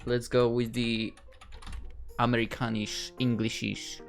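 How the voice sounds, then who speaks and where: A man's voice: mid-range voice, level tone, measured pace, gravelly, faint music behind. A young man from Poland with a Polish accent, playfully chatting and reading game dialogue aloud in a casual let's play. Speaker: gaming YouTuber.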